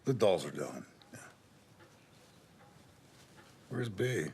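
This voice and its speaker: An actor playing a weary, gently playful dollmaker husband in a naturalistic film drama. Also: male voice, low-pitched, very animated, normal speed, breathy edge, exaggerated voice. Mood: quietly teasing.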